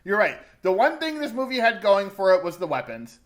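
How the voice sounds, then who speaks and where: Male podcast co-host, fairly high voice, casual and opinionated reviewer. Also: big pitch swings, fairly quick, crowd noise. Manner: conversational, informal, opinionated. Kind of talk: casual film review chat.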